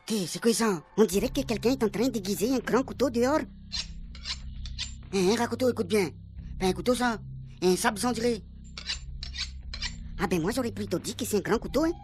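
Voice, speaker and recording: A woman's voice: medium pitch, a little fast, pauses often, darkish tone, slight background noise, lightly acted. A woman with a Réunion accent acting a jittery member of a bungling trio in a radio soap comedy, talking in exclamatory colloquial French. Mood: anxious, bickering.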